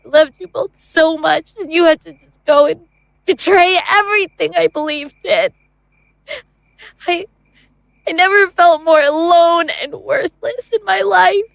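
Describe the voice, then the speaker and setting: A high female voice, an actress in role with slow, deliberate delivery and very little room sound. She is a wounded, vengeful woman from a horror audio drama, heavy with betrayal. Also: vocal fry, a bit bright, no echo, full character voice, low-quality recording. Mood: anguished, bitter. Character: bitter, betrayed, vengeful woman haunting a dream.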